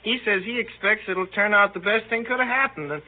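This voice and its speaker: A man's voice: high voice, fairly quick. An actor playing an earnest, know-it-all teenage boy in a 1930s radio comedy, rambling on insistently. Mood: earnest boasting. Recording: muffled old 1930s radio broadcast.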